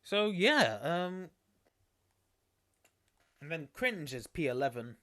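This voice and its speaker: A man's voice: a little high, very animated, takes it slowly, a bit bright, no room sound, studio quality. A man commentating a sim racing league on a live stream, chatty and rambling, losing his voice. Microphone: desktop microphone.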